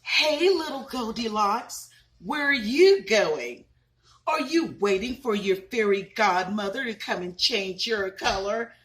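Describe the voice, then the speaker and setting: A woman's voice: mid-range voice, animated, takes it slowly, a bit bright. A woman voicing a group of young unicorns who mock a golden unicorn in a taunting, sing-song way. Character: mocking young unicorns teasing a golden one.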